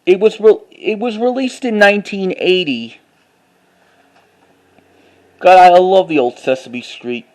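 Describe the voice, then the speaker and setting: Guy w a pretty high voice doing a casual collection show and tell, halting, repeats himself, reads titles out. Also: darkish tone. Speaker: collector showing finds to camera.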